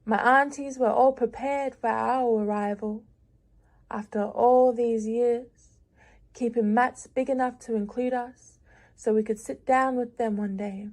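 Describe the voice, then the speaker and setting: A young woman reading her own lyrical prose aloud in a measured, reflective way with long pauses. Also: fairly high pitch, a little mellow. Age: young adult. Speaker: prize-winning young writer.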